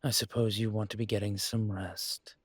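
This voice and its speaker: A man playing a suave, courteous dragon mafia boss in an intimate roleplay audio, with a husky, breathy voice and a gentle, teasing formality. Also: on the low side, little pitch movement, normal speed, no room sound, close to an everyday voice. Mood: warm, sincere.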